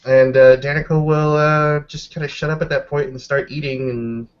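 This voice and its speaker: Halting man narrating a game, muffled. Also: a little high, flat delivery, smooth. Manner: halting, descriptive. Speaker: tabletop roleplay player. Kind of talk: casual improvised game narration. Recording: dull lo-fi mic with background noise.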